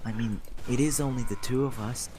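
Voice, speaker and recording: A man's voice: mid-range voice, fairly even intonation, fairly quick, dark tone, voice pushed up, lightly acted. A man voicing a flustered, coy young male gamer in a romantic fan fiction reading, hesitant in his delivery. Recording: noisy group voice-chat stream.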